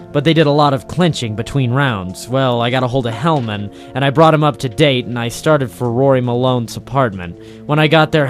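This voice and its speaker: An actor playing a cynical, tough private eye, deadpan and wry, in a noir radio drama. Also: male voice, mid-range voice, close to an everyday voice. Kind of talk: 1940s film noir radio detective narration.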